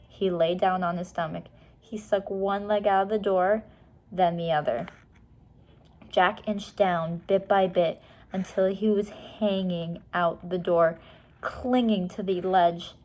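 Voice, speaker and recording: A woman's voice: lowish voice, steady tone, takes it slowly, darkish tone. A woman reading a children's storybook aloud expressively, explaining things simply and casually to young listeners.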